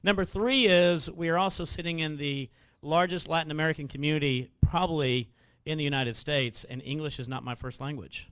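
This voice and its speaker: A man from Mexico, pitching his lending services to a meeting. He talks very fast and jokes as he runs through his points, in a slightly high voice. The sound is dry and close. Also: steady tone, fairly bright tone, clear, resonant tone, no echo. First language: Spanish. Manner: fast, chatty, joking, enumerates points. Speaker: mortgage lender. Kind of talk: quick sales pitch to a meeting.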